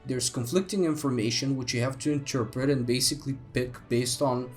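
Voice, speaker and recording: A man's voice: mid-range voice, brisk, soft background music. A young man, a native Bulgarian speaker, talking through history on his solo podcast, thoughtful with many fillers and restarts. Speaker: podcast host.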